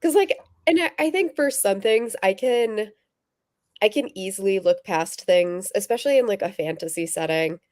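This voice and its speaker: A woman with a mid-pitched voice is captured dry, without room sound. She chats casually about books, animated and quick to voice her own reactions. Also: no echo. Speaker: book discussion panelist. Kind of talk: casual book chat.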